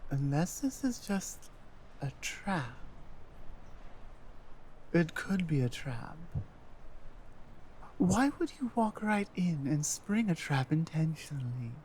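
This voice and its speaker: A woman playing an earnest, loyal warrior-healer companion in a fantasy ASMR roleplay, with a hesitant, halting delivery. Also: sits low, sing-song, a little mellow, a little hiss or hum, full character voice. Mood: cautious, doubtful. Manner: hesitant, halting, with stammered restarts. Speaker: audio roleplay performer.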